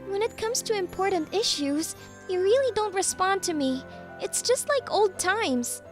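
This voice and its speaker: A bossy, teasing young lady boss from a manga dub played by a woman. Her voice is extremely high and leaps around in pitch as she needles and gives orders. Light music runs behind her. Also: expressive, a little slow. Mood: stern, needling. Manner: commanding, needling. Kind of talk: anime-style manga dub acting.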